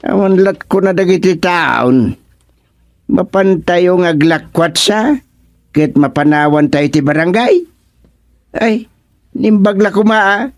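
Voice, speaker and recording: Casting: easygoing Ilocano villager who grumbles, unhurried, mid-pitched, dry studio sound, radio comedy. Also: male voice, no echo. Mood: chatty, explaining.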